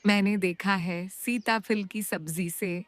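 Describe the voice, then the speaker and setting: A woman's voice: medium pitch, fairly even intonation, takes it slowly, natural voice. A woman playing a helpful, practical young daughter-in-law in a cartoon story. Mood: helpful, eager. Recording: music behind the voice.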